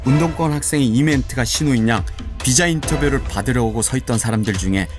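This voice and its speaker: A man narrating a radio drama in an even, descriptive storytelling manner, with music underneath. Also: medium pitch, fast talker.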